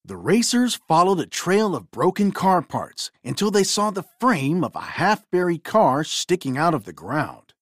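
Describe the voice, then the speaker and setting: A woman reading a children's storybook aloud in an expressive, theatrical way. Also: on the low side, a little slow, few pauses, husky, no echo, clean studio sound. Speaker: storybook reader.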